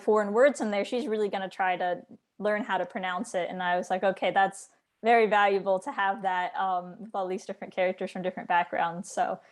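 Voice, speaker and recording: A woman with a medium-pitched voice hosts a casual interview. She is chatty and a little rambling, slipping quoted asides into her explanations. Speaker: interviewer, book editor.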